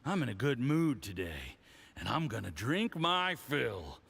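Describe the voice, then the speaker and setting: Smug diplomat with wildly swinging pitch. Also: male voice, sing-song, creaky, fairly bright tone, husky, heavily acted. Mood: tipsy, pleased. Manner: theatrical, patronizing, drawn-out delivery. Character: smug, condescending, tipsy Fatui diplomat, adult man. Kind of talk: video game voice acting.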